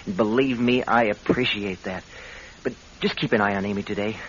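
An actor playing a scheming husband, glib and coaxing, in an old-time radio drama. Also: male voice, lowish voice, fairly quick, breathy edge. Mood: feigned embarrassment. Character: scheming husband, smooth and glib, talking sweetly. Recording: muffled, hissy vintage radio recording.

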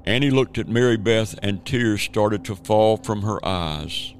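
A man's voice: fairly low pitch, measured pace, soft background music. A man narrating a scripted radio drama as its storyteller.

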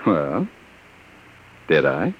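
An actor in an old radio drama playing a smooth, domineering criminal mastermind, mock-affectionate and coolly menacing. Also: male voice, a bass voice, huge pitch swings, extremely slow, muffled recording, exaggerated voice. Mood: silky. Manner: mock-affectionate, commanding, theatrical. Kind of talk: 1950s radio drama acting. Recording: noisy vintage radio recording.

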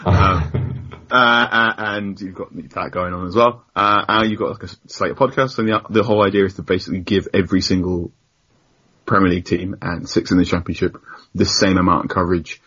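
Deep husky young man. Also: a little fast, muffled recording. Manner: animated storyteller, laughs often, acts out quotes. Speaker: guest journalist. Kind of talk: casual podcast conversation.

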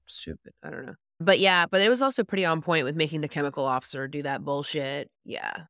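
Low-voiced laid-back woman. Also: fast talker, fairly bright tone, dry recording, clean studio sound. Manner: supportive, laid-back, wry. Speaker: podcast co-host. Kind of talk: casual chat.

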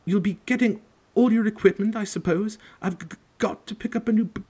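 A man's voice: high voice, brisk, dark tone, breathy edge, voice pushed up. A man voicing a timid, frightened young professor with a heavy stammer, in a storybook reading. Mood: nervous, stammering. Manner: hesitant, stuttering over consonants.

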